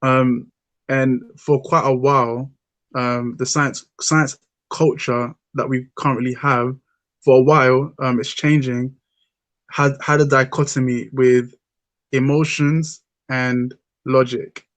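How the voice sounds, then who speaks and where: A man's voice: mid-range voice, level tone, slow, a bit bright, dry recording. A British-born man hosting a casual discussion, thoughtful and hesitant with frequent ums. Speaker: podcast host.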